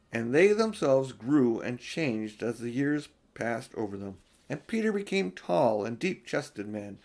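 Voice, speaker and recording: Older man reading a storybook aloud. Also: mid-range voice. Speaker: audiobook reader. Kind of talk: storybook read-aloud with casual asides.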